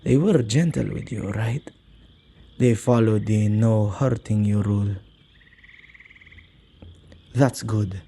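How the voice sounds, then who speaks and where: A man acting a soft-hearted male witch who keeps ghosts, in an ASMR roleplay, with a halting, gently apologetic manner and slightly archaic wording. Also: lowish voice, a little hiss or hum. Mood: gentle, measured.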